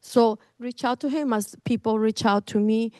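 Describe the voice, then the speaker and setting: A woman's voice: on the high side, moderate pace, darkish tone, clean tone, no echo. A middle-aged woman from Costa Rica with a Costa Rican Spanish accent, a realtor speaking as guest at a business meeting, enthusiastic and effusive. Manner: enthusiastic, effusive, laughs between phrases.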